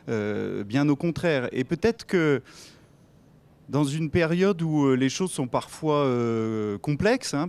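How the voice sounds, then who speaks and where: Halting man explaining on a panel. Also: medium pitch, darkish tone. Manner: halting, with frequent tag words and repeats. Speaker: elected official, president of a local authority. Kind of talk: panel interview, explanatory answers. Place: TV set at a convention.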